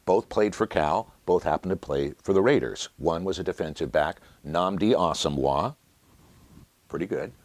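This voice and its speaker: Very deep-voiced American man in his sixties. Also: moderate pace. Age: 60s. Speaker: stadium announcer and voiceover artist. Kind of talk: reflective interview talk.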